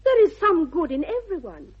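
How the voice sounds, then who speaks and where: Sweet young English lady, very high, animated, gushing, dull vintage radio sound. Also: normal speed, exaggerated voice. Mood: pleading. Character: eager, sweetly earnest young lady ward. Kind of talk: 1960s radio comedy of manners acting. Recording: dull 1960s radio recording.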